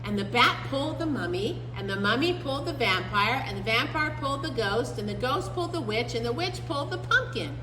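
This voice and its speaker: A woman librarian reading a picture book aloud to children, slow and animated, with big swings in her voice. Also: a little high, roomy sound, big-room sound, music in the background, poor audio. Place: library room.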